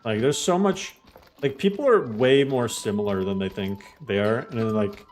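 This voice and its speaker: A man's voice: lowish voice, normal speed, soft background music. A man live-streaming a game, chatting loosely with viewers and walking them through steps, with lots of "like" fillers. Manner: explains things step by step to viewers. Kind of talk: casual live-stream chat while playing.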